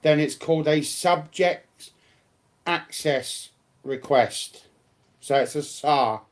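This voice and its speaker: Slow-talking man, fairly high voice. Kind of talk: casual advisory chat.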